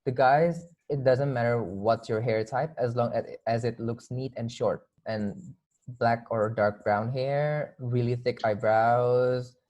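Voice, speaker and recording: Mellow-voiced Indonesian man in casual discussion. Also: mid-range voice, fairly even intonation, slow pace, lo-fi sound. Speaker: guest.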